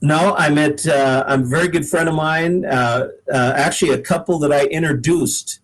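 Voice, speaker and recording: A man's voice: a little high, moderate pace, fairly bright tone, low-quality recording. Easygoing middle-aged American man, casual interview guest. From Oregon, United States. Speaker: guest singer-songwriter. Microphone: laptop on a video call.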